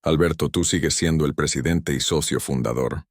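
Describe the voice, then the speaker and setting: Very deep, measured male storyteller. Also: no room sound, clean studio sound. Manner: measured, stern and dramatic. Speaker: narrator. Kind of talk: first-person story narration.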